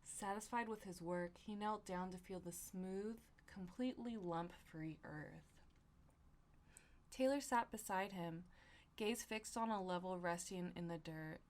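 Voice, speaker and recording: A woman's voice: fairly low pitch, a little slow, slight background noise. A young woman hosting a comedy podcast, reading fan fiction aloud with slangy, casual asides. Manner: slangy, profane, giggly asides. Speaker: podcast host.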